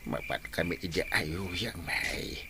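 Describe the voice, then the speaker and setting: A man's voice: deep voice, lively intonation, measured pace, vocal fry, heavy background noise, exaggerated voice. An actor playing a sneaky, flustered husband who fears his wife, stammering and exaggerated, in Ilocano-accented radio comedy.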